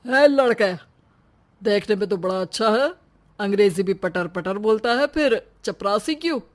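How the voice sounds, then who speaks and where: A woman voicing a curious, benevolent male bank manager in a story, measured and authoritative. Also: medium pitch, lively intonation, natural voice.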